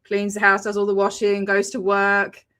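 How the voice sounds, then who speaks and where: A woman's voice: medium pitch, completely flat delivery, normal speed, a bit bright, clean tone. An Australian woman hosting a casual video call chat, talking freely with many fillers and frequent laughs. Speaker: host.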